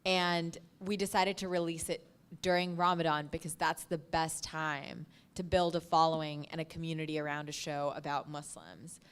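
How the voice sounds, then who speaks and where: A woman's voice: low voice, steady tone, normal speed, clear, resonant tone. A woman podcast producer on a panel, giving informal advice in a practical, instructive way.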